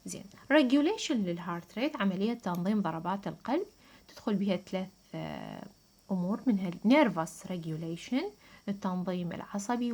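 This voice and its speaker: A woman's voice: fairly low pitch, darkish tone, breathy edge. A woman with an Iraqi Arabic accent giving a lecture, explaining didactically, switching between Arabic and English technical terms and restating key points. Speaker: physiology lecturer.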